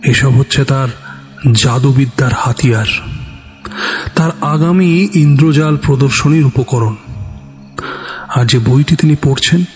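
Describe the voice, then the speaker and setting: A man's voice: mid-range voice, unhurried, long pauses, a little mellow. A man narrating a scripted suspense story in a dramatic storytelling style. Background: background music.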